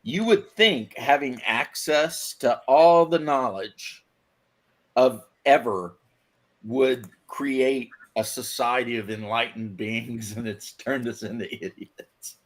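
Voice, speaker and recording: A man's voice: medium pitch, takes it slowly. Man telling stories in casual chat.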